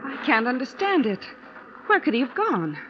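A woman's voice: high voice, sing-song, moderate pace, noisy background. An American actress playing an anxious, insistent young woman writer in an old-time radio drama. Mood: uneasy, polite. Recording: dull lo-fi vintage radio recording.

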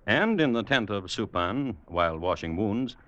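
An actor narrating a radio drama as a grave storyteller, measured, solemn and dramatic. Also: male voice, fairly low pitch, sing-song, a bit bright, muffled recording. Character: grave storyteller narrating a macabre family tale. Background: music.

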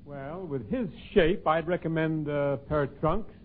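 An actor playing a dry, insulting shop clerk in a 1940s radio comedy, speaking in a deliberate, snide way. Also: male voice, a little high, close to an everyday voice. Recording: tinny, slightly noisy old radio recording.

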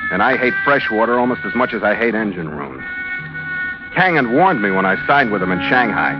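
An actor playing a tough, wisecracking sea captain in an old radio drama, with an American accent and terse, hard-boiled delivery. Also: male voice, lowish voice, sing-song, a little fast. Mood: hard-boiled, brooding. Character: tough, sardonic male sea captain, narrating his adventure. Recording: dull vintage radio recording, music behind.

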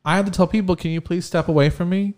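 A man's voice: sits high, steady tone, quick pace, a little mellow. Blunt, cursing man. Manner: blunt, profane, advice-giving. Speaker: podcast co-host. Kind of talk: casual chat.

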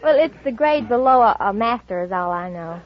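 A woman's voice: on the high side, animated, a little fast, over a noisy crowd. A woman from Arkansas appearing as a quiz show contestant, answering and telling stories somewhat hesitantly. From Arkansas, United States. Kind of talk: interview answers, storytelling. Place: stage before a studio audience. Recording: dull old radio broadcast, some noise.

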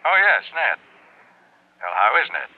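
A man's voice: medium pitch, huge pitch swings, unhurried, slightly rough, exaggerated voice. An actor playing an insistent, terse businessman through a telephone filter in a 1960s radio drama. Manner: terse, pressing. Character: insistent tycoon phoning an old love. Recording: tinny telephone line, vintage radio recording.

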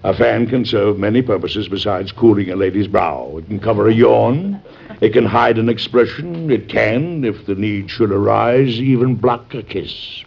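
A man's voice: lowish voice, normal speed, gravelly, breathy edge. An actor playing a stern, doting, fretful middle-aged father of grown daughters in classic American radio drama, with formal, lecturing speech. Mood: instructive, tender. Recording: muffled old radio recording.

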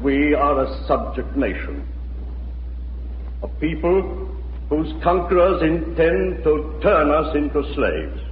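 An actor playing a solemn, grieving preacher, delivering a grave, deliberate sermon in a 1940s radio drama. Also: male voice, on the high side, very animated, slight background noise. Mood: grave, mournful. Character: solemn, grieving male preacher in a conquered country. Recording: echoing, narrow-band old radio recording, music behind.

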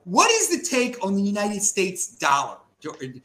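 A man hosting a casual panel chat, halting and full of restarts, calling guests "dude". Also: sits high, wildly swooping pitch, fairly bright tone, echoey room. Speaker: podcast host.

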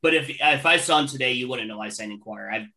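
A man's voice: mid-range voice, a little fast, pushed and bright. A man in his late thirties interviewing a band, chatty and enthusiastic. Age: 30s. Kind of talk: casual interview.

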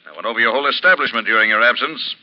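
An actor playing a mocking, stern invisible avenger in a 1940s radio drama, taunting and deliberate. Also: male voice, medium pitch, lightly acted. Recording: dull vintage radio recording.

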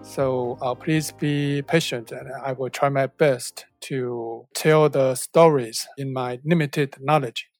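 A man's voice: mid-range voice, steady tone, slow pace, hardly any pauses, faint music behind. A man from Taiwan, not a native English speaker, giving a polite and modest introduction as a podcast guest. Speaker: guest film director. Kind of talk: podcast interview, careful self-introduction.

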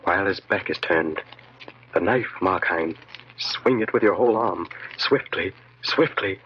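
An actor playing a sinister inner voice that coldly and deliberately urges and commands, in 1940s radio horror style. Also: male voice, low voice. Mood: urgent, commanding. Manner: insistent, deliberate, repetitive urging. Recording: muffled, noisy old radio recording.

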